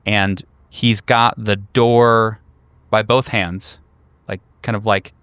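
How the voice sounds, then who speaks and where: Male game master, lower pitch, clean smooth tone, dry close sound, descriptive improvised narration, pauses. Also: flat delivery, a bit bright, no room sound.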